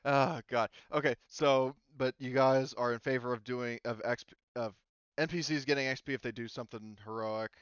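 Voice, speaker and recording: Male game master, relaxed and readily amused, smooth clear tone, dry slightly muffled chat sound. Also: medium pitch, normal speed, no echo. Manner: easygoing, laughs readily. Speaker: game master of a tabletop role-playing campaign. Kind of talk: casual group game discussion.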